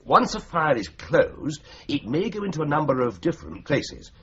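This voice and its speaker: A man's voice: a little high, big pitch swings, normal speed, breathy edge, heavily acted. An actor playing a wry, teasing young male solicitor's clerk in a radio drama. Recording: dull lo-fi radio play recording.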